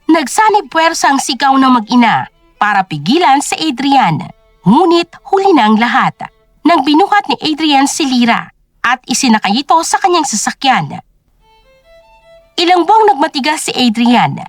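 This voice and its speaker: A woman's voice: high-pitched, big pitch swings, moderate pace, dry recording, faint music behind. A woman narrating a scripted drama story in a dramatic storytelling style.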